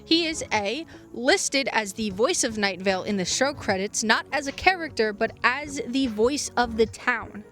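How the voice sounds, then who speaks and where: A woman with a fairly high, clearly bright voice narrates a scripted video essay. Her phrasing is wry and emphatic, with informal joking asides. Soft music plays behind her. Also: lively intonation, a little slow, few pauses.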